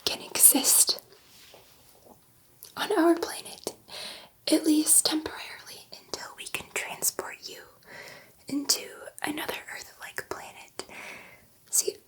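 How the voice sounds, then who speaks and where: A woman playing a gentle, excitable alien scientist in an ASMR sci-fi roleplay, speaking in a soft breathy whisper with a hesitant, rambling, reassuring manner. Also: high voice, takes it slowly, long pauses, rough recording. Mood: earnest explaining.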